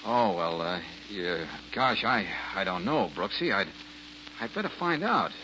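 An actor playing an easygoing, sharp male detective in a 1940s-style radio drama, with a halting, folksy delivery. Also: low voice, big pitch swings. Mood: bumbling. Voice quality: halting, folksy, full of uhs. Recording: dull lo-fi old radio broadcast with faint hiss.

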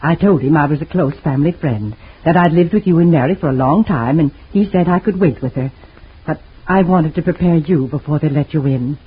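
A woman voicing a loyal family friend in an old radio drama, calm and consoling in a 1940s acting style. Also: low voice, a little fast, husky. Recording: tinny old radio recording.

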